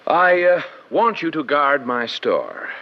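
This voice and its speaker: An actor playing a snobbish, fussy shop owner with a pompous, sarcastic manner in old-time radio style. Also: male voice, fairly high pitch, very animated, slow, heavily acted. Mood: fussy distaste. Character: snobbish male owner of a fashionable tailoring shop. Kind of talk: old-time radio comedy-detective acting. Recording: dull vintage radio recording.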